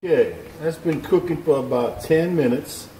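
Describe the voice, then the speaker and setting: A man's voice: fairly high pitch, moderate pace. Folksy man cooking in an echoey kitchen. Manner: folksy, easygoing, talks the viewer through each step. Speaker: cooking show host. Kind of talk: casual cooking demonstration. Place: home kitchen at the stove. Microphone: camera over the stove, hands in frame. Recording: echoey kitchen, faint pan noise.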